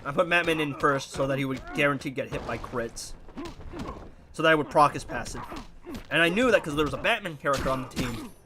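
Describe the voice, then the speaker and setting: A man's voice: a little high, a little fast, noisy background. Congested young man narrating gameplay. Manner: animated, puzzled, rambling. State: congested, nasal. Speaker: gaming YouTuber. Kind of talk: casual gameplay commentary.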